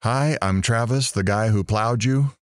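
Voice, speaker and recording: A man's voice: fairly low pitch, measured pace, dry recording, studio quality, close to an everyday voice. A man playing a sardonic, worldly wisecracker commenting in an audio drama. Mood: wry amused. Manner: sardonic, joking.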